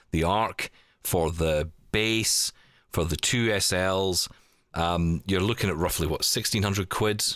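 A man's voice: deep voice, a bit bright, no room sound, studio quality. A Scottish man in his forties hosting a podcast, chatting casually and rambling with jokes. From Scotland. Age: 40s. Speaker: podcast host. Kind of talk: casual chat.

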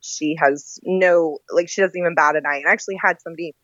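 Female podcast guest, lowish pitch, informal and chatty, muffled lo-fi recording. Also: steady tone, quick pace, a bit bright, no room sound. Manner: informal, frequent "like" and "you know". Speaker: guest novelist. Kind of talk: casual podcast chat.

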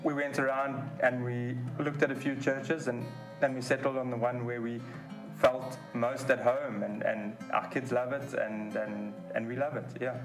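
A man chatting casually and haltingly about moving and church. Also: medium pitch, flat delivery. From South Africa. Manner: casual, halting, repeats words. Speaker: church member. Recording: echoey room with guitar music.

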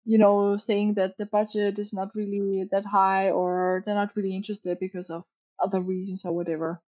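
A woman's voice: mid-range voice, level tone, moderate pace, darkish tone, highs cut off. A woman from Austria, whose first language is neither English nor Danish, chatting casually in an interview with many fillers. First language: not English or Danish.

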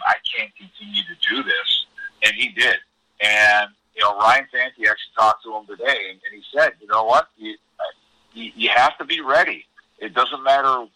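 Male sports broadcaster as guest analyst, slightly low but very bright voice, emphatic, close dry sound. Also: no echo, poor audio. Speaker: hockey broadcaster, interview guest.

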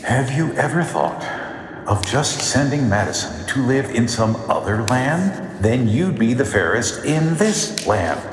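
Man as magic mirror spirit, sardonic, mock-solemn, expressive pitch, radio play, very echoey. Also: unhurried, slight background noise. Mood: wry, needling. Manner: mock-solemn turning wry and casual. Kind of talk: comic radio play acting. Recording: echoey, long hall reverb.

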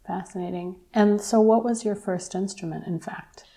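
A woman's voice: mid-range voice, fairly even intonation, normal speed, warm tone. A woman interviewing a musician, asking questions and offering short encouraging responses.

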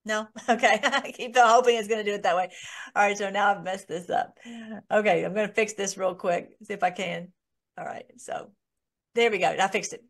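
A woman's voice: medium pitch, fairly even intonation, moderate pace. A woman hosting an informal online Bible study, talking in a rambling way with many restarts and fillers.

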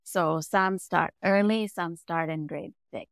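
A middle-aged woman from Sri Lanka, a native Tamil speaker with a Sri Lankan accent, recounts her experiences in a relaxed conversational way. Her voice is smooth and sits a little low, and it lifts and gets louder as her stories build. The recording is close and dry. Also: slow pace, no echo. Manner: animated, rising in pitch and volume when telling a story. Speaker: interview guest. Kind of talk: conversational storytelling.

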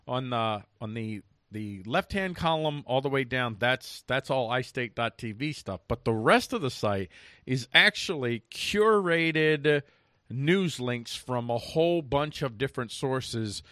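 A male American talk show host with a bright, forceful voice at an average pitch, recorded very dry. He talks casually about politics, restarting and repeating words to press his point. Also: no room sound.

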